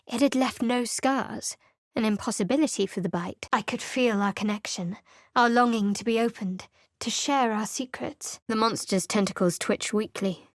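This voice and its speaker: Actress as a wary young cleric, dry and cutting, fairly high voice, close dead recording. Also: female voice, moderate pace, no room sound, natural voice. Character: guarded sardonic young woman cleric adventurer. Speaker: game character. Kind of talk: studio game voice acting and narration.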